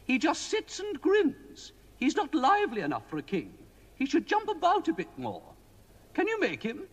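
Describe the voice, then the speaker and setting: A man voicing a fussy, demanding queen in a high, shrill voice. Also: wildly swooping pitch, normal speed, fairly bright tone, big-room sound, full character voice. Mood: complaining.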